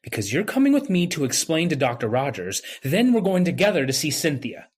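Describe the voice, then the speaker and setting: A man's voice: on the high side, big pitch swings, fairly quick, husky. A man playing a wronged young medical intern, bitter and brooding, in old-time radio drama style. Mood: indignant.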